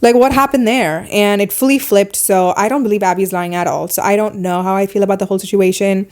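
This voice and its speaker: A woman with a foreign accent hosting a podcast, talking through her opinions in casual chat, animated and fast in bursts. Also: medium pitch. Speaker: podcast host.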